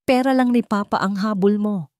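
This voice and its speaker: A woman voicing a hot-tempered, defensive cheating husband in an audio story, loud and accusing. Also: mid-range voice, moderate pace, dry recording, pitched above the performer's own voice, close to an everyday voice. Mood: furious, accusing.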